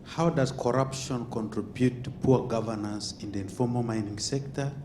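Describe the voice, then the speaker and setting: A man's voice: mid-range voice, fairly even intonation, normal speed. A man from Uganda, an audience member, politely asking the panel a formal question. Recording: echoey hall, noisy.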